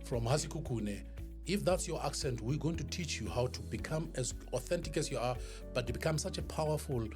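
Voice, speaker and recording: Male motivational speaker, South African accent, mid-pitched, deliberate and emphatic, clear music bed underneath. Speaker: podcast guest, professional speaker. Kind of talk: motivational storytelling in an interview. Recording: music behind the voice.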